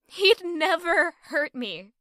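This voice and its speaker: A bright, forward voice for a young woman in love, played by an actress. She speaks slowly and a little high, with emotional weight, torn and defensively loyal. It is audio drama acting, recorded close and dry. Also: very animated, dry recording, heavily acted. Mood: defensive, upset.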